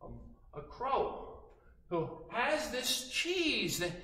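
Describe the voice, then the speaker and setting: A man tells interactive stories for children in a high, very animated voice. He goes slowly, pausing between phrases and giving simple instructions. The room around him is echoey. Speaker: children's storyteller.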